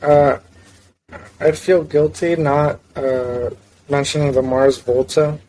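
A man's voice: on the high side, steady tone, slow, warm tone, slight background noise, poor audio. A young man from South Florida, a guitarist and singer, talking in a laid-back, hesitant way as a podcast guest. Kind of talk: casual interview chat.

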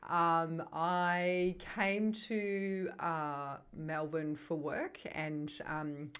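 A woman's voice: low voice, level tone, drawn-out words, a bit bright, clean tone. A middle-aged woman with a New Zealand accent, a psychotherapist talking as a podcast guest, thoughtful and searching for her words. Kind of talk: conversational podcast interview.

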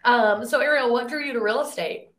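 A woman's voice: on the high side, brisk, a bit bright, a little hiss or hum. A woman from Tennessee hosting a podcast, upbeat and chatty, with a playful teasing edge. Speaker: podcast host. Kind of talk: podcast interview.

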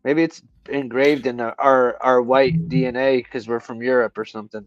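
A man's voice: medium pitch, fairly even intonation, normal speed, no room sound, faint music behind. A man co-hosting a live stream, chatting casually in a jokey way and laughing often. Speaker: co-host. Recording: dry, dull lo-fi sound.

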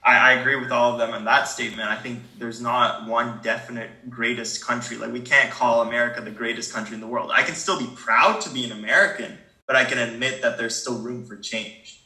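Earnest teenage guy, bright echoey sound. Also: mid-range voice, steady tone, brisk, few pauses. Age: teens. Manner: earnest, repeats words while thinking aloud. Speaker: discussion participant. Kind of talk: casual group discussion.

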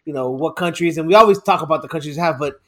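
Excitable, stumbling man, fairly high voice. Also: racing. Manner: excitable, laughs a lot, stumbles over repeated words. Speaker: podcast co-host. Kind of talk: casual chat.

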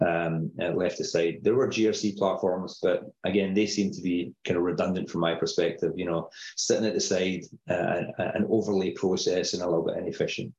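A middle-aged Scottish man with a low voice talks through ideas in a measured, explanatory way. His audio sounds roomy and a bit dull, like a remote call. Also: steady tone, creaky, a little mellow. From Scotland. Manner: measured, explanatory, uses filler phrases. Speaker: company founder guest. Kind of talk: podcast interview.

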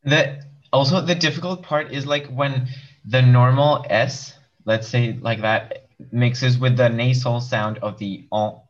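Guy in an online class, talks real slow, tries words out loud, dull muffled audio. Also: mid-range voice, fairly even intonation. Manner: tries words out, thinks aloud. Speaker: student.

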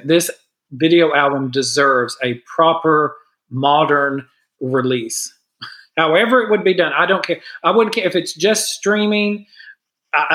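A middle-aged man co-hosting a podcast over a video call, talking earnestly in casual chat with a hesitant, halting delivery. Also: fairly high pitch, bright, forceful tone, poor audio. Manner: earnest, hesitant with many uh fillers and restarts. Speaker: podcast co-host.

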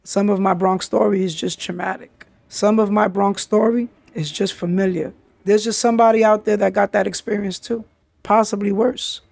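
A man's voice: sits high, fairly even intonation, normal speed, creaky, a little mellow, no room sound. A man, hosting a podcast and telling his life story candidly in street slang, often saying "yo" and "you understand?". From New York City. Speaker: podcast host.

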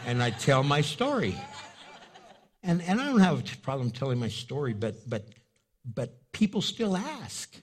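A male pastor whose first language was Spanish, preaching a sermon in a storytelling way that jumps into loud, high bursts. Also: husky.

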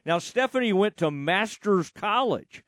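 A man hosts a radio show in a chatty way, asking loose, rambling questions. His voice is high for a man, and it sounds dry and close with no room around it. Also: lively intonation, unhurried, fairly bright tone, dry recording. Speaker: radio host. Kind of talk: casual radio chat.

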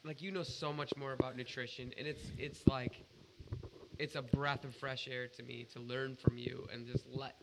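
A man's voice: medium pitch, level tone, moderate pace, vocal fry, heavy background noise. A man co-hosting a casual podcast, talking earnestly and emphatically with plenty of swearing. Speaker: podcast co-host.

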